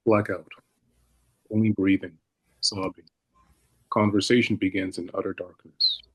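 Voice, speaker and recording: A man's voice: sits low, flat delivery, measured pace, a little hiss or hum, poor audio. A man reading stage directions as scripted narration for a drama.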